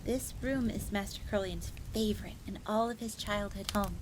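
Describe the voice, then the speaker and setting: A woman's voice: mid-range voice, normal speed, natural voice. A woman voicing a friendly, devoted fox maid with a chatty, playful, coy manner in a roleplay audio drama. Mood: cosy, fond. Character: friendly, devoted fox maid speaking of herself in third person. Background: rain and crackling fire.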